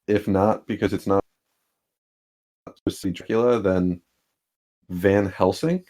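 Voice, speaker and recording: Chill sports podcast dude, lowish voice, deadpan jokes, laughs a lot. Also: male voice, a little slow. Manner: laid-back, dry humor, chuckles while talking. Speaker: podcast co-host. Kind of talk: casual sports podcast banter.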